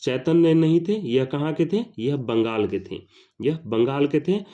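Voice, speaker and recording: A male teacher works through exam questions, repeating each question and each answer. His voice is warm and mellow. The recording sounds dull and lo-fi. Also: on the high side, expressive, unhurried.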